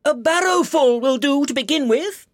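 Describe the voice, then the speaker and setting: A narrator voicing bossy, excitable storybook animals such as a male rabbit, giving orders in rustic exclamations. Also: sits high, normal speed, dry recording, studio quality, voice pushed up. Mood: urgent, commanding. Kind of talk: storybook character voice.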